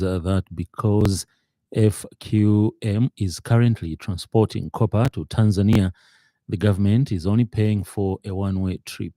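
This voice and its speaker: Very dark, deep-voiced Zambian male newsreader. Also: no room sound. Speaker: radio news reader. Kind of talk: scripted news bulletin. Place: radio studio.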